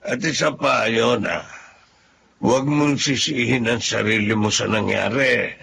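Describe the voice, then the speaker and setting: A man playing a kindly, humble old benefactor and father in a Filipino radio drama, speaking gently and earnestly. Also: medium pitch, measured pace, creaky, a little hiss or hum. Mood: grateful. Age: older. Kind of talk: Filipino radio drama acting with narrated monologue. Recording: music behind the voice.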